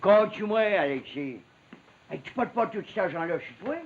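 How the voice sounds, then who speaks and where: An old quebec pépère type, folksy n emphatic, cautious, muffled old tv drama. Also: male voice, sits high, animated, moderate pace. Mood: insistent, advising. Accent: Quebec French. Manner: folksy, advice-giving, emphatic. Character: fatherly old Quebec woodsman, cautious and meddling. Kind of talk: 1950s television period drama acting. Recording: muffled, hissy old 1950s television soundtrack.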